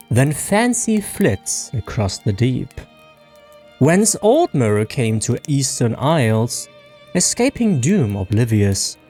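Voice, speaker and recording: A mournful elven bard, played by a narrator recites an old lay solemnly and poetically, the pitch moving widely over music. It sounds like a fantasy storybook reading. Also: mellow.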